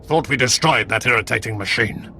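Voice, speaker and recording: An actor playing a menacing enemy soldier with a gravelly, threatening voice. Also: male voice, mid-range voice, brisk, pushed and bright, slight background noise.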